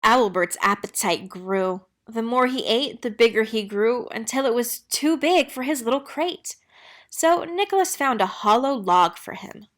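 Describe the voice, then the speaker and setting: A woman's voice: fairly high pitch, animated, a little slow, fairly bright tone. Woman reading a children's picture book aloud. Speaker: read-aloud narrator.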